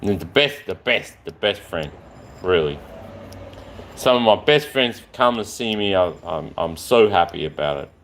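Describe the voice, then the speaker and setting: A man's voice: low-pitched, lively intonation, measured pace, a bit bright, a little hiss or hum. A man, chatting in a rambling, affectionate way on a live stream. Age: 40s.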